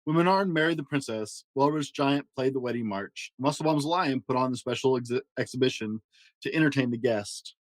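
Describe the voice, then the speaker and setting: Casting: male storyteller for children's picture books, expressive read-aloud style, mid-range voice, very dry recording. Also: mid-range voice, fairly quick, no echo. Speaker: storyteller and host.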